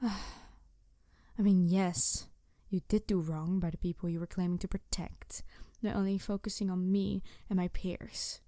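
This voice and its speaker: A woman's voice: on the low side, little pitch movement, measured pace, no echo, clean studio sound, natural voice. A woman playing a snarky, embittered, idealistic supervillain in an intense audio roleplay monologue addressed to the listener. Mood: skeptical, conflicted. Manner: snarky, intense, sighing, shifting from emphatic to hushed. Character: snarky, embittered, idealistic female supervillain confronting her nemesis. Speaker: voice actor.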